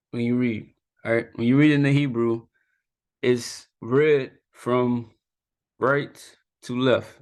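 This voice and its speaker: A man giving a casual spoken lesson as a youth teacher, talking informally and often tagging "right?" and "you know" onto his sentences. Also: medium pitch. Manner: laughs off his own mistakes.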